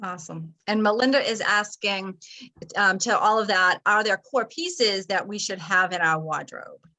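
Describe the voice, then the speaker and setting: A woman's voice: mid-range voice, moderate pace, sharp tone. A woman co-hosting a live online session, reading out audience questions with upbeat, brief interjections. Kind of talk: casual live webinar hosting.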